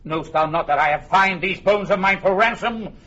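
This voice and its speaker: An actor playing a stern, pious English warrior king in classical Shakespearean radio style, declaiming from hushed gravity to ringing command. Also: high voice, normal speed. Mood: angry, commanding. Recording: dull, noisy archival radio drama recording.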